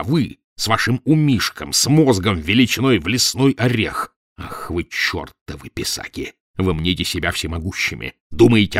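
A man voicing an irascible older professor with a gruff, blustering, scornful manner in a spoken storybook. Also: fairly low pitch, fairly bright tone, dry recording, clean studio sound, full character voice. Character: irascible short bull-necked male professor, older.

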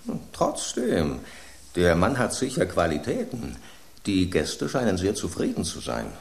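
A gruff, scornful loaf of bread. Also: male voice, deep voice, wildly swooping pitch, a little slow, exaggerated voice. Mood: grumbling. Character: grumpy male loaf of house bread, blunt and scornful. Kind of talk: radio drama acting. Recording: roomy studio echo.

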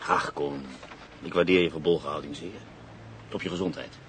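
Male journalist-narrator, wry and reflective, formal phrasing, very deep voice, radio drama. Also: steady tone, a bit bright, slight background noise. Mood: calm, genial. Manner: measured, reflective, formal wording. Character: cultured, world-weary male journalist and writer, calmly ironic. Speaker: lead actor and first-person narrator.

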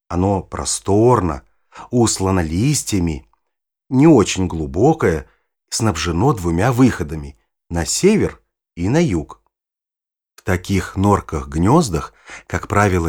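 An older male actor playing a kindly, knowledgeable grandfather who explains nature to his granddaughter in a husky voice, in a children's audio play. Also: sits low, a little mellow, full character voice. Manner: unhurried, storytelling, instructive. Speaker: narrator-guide in an educational audio play.

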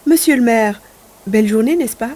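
Audio drama: romantic young gentlewoman, played by a woman, dreamy and formal, mellow tone, echoey room. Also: on the high side, normal speed, close to an everyday voice. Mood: polite. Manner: exalted, formal literary diction. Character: romantic, dreamy young provincial lady, well-born. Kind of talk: audio drama monologue and dialogue. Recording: roomy echo, faint background noise.

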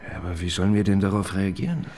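A man's voice: deep voice, little pitch movement, racing, darkish tone, a little hiss or hum, close to an everyday voice. A man playing an eager but sceptical junior detective in a radio crime drama, deferential yet questioning. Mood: doubtful. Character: eager, sceptical male junior police detective assisting his boss. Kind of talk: naturalistic German radio drama dialogue.

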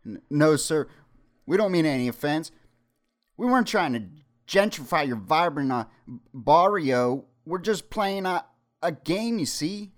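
A man's voice: on the high side, animated, a little slow, voice pushed up. A man voicing a timid young dungeon master who stammers and pleads nervously. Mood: pleading.

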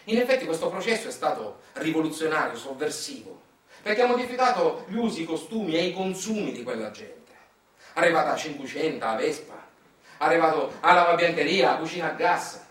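A man's voice: fairly high pitch, very animated, a little fast, fairly bright tone. A man with a Sicilian accent performing a theatrical narrative monologue with dramatic emphasis, recorded from a distance in an echoey room. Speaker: stage monologist. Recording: echoey room, far from mic.

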